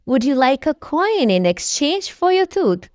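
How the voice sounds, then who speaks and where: A woman voicing a gentle, magical tooth fairy who speaks softly in a children's storybook. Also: sits high, very animated, unhurried, no echo. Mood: gentle, sweet. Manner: soft, whispery delivery.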